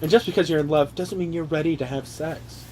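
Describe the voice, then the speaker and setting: Higher-voiced young man, joking over background noise. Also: a little fast, a little mellow. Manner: mock-earnest reading, sweary, jokey. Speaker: reader among his brothers. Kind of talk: joking read-aloud with casual asides. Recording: noisy amateur home recording.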